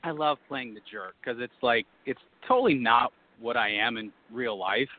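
A man's voice: mid-range voice, normal speed. Chatty man actor on a phone line. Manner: animated, laughing, self-mocking. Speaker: actor guest. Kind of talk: casual call-in chat. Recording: tinny phone line, dry.

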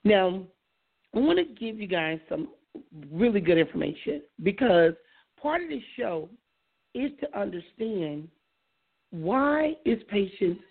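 A woman with a hoarse voice hosting a call-in radio talk show, musing aloud about patience and inviting callers to phone in. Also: mid-range voice, lively intonation, slow. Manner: reflective, conversational, invites callers. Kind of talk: solo talk radio monologue. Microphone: iPad. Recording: phone-like lo-fi line.

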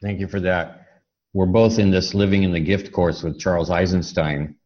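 Man, very low voice, interview host, thoughtful long-winded questioning, conversational, muffled lo-fi recording. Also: little pitch movement, normal speed, darkish tone. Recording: dull, muffled lo-fi audio.

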